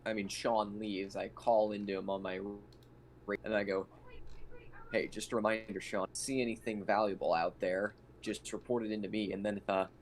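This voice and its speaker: Low voiced guy roleplaying on a call, casual, background noise. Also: level tone, normal speed. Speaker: tabletop game player. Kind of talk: casual in-character game chat. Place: online voice call. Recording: noisy voice call.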